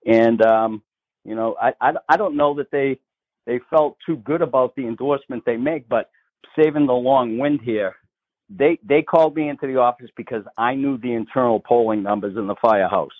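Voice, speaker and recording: A man's voice: mid-range voice, moderate pace. Boston-accented man on a tinny phone line. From Massachusetts, north of Boston. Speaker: guest, state politician. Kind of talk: phone-in radio interview.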